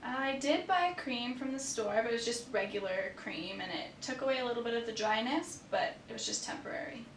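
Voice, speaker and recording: A woman's voice: lively intonation, fairly quick, few pauses. A young woman playing a patient, answering questions in a casual conversational way. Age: young adult. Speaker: patient in a clinical skills demonstration. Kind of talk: casual role-play answers. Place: small clinic exam room, indoors. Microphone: far camera microphone. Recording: echoey room, distant mic, faint rumble.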